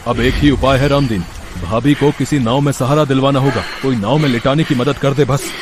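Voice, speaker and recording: A man's voice: mid-range voice, steady tone, a little fast, background noise, natural voice. A man voicing a helpful village neighbour, urgent and commanding, in a moral-story cartoon.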